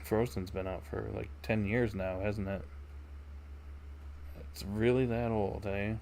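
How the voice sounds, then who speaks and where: A man's voice: lowish voice, fairly even intonation, takes it slowly, gravelly, darkish tone, slight background noise. A man chatting casually with viewers as he streams a game, often thinking aloud. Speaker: livestream gamer. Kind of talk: casual chat with viewers during gameplay. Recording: game music behind the voice.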